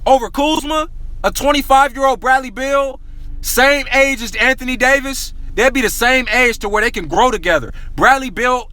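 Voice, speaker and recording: A high, bright-voiced man fires off a hyped basketball rant full of slang and repetition. His voice sounds worn, like he is losing it, and he keeps calling out to his viewers. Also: normal speed. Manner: excitable, repetitive, slangy, addresses viewers as y'all. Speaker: sports talk vlogger.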